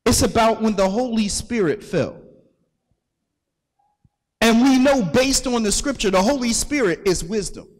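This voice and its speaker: High-voiced preacher in an echoing hall. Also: male voice, animated, measured pace, long pauses. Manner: calls for readings and repeats the verses back to the congregation. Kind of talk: sermon teaching from scripture. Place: large hall at a religious gathering. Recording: long echo of a large hall.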